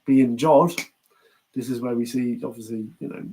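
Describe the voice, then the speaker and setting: A man's voice: medium pitch, fairly even intonation, moderate pace, dark tone, a little hiss or hum, rough recording. A man with a British accent co-hosting a podcast, casually recapping a series and acting out bits of dialogue as he goes. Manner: chatty, acts out quoted lines in passing. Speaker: podcast co-host.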